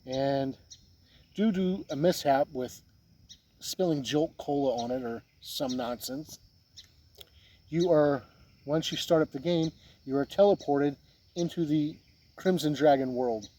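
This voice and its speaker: A man giving an unhurried, deliberate review talk to camera, pausing often mid-sentence. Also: on the high side, dark tone. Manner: deliberate, halting delivery with pauses mid-sentence. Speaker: tabletop game reviewer. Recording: windy noise, outdoor-sounding ambience. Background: wind noise.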